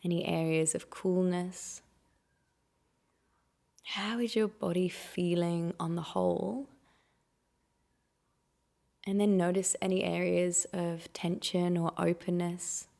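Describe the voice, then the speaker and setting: Low-voiced woman guiding meditation, lightly creaky. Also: fairly even intonation. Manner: gentle, unhurried instruction with soft invitations and questions. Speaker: meditation guide. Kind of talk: guided meditation.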